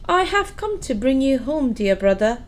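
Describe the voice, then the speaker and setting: A sweet young sister played by a woman in an audio play. She speaks in a fairly high voice, earnest and gushing with affection. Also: sing-song, moderate pace. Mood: eager, affectionate.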